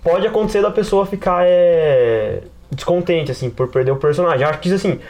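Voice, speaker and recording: A man's voice: on the high side, expressive, brisk, a little mellow. A man with a Brazilian accent in a casual podcast discussion, explaining things in a rambling, slangy way. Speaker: podcast panelist and game master.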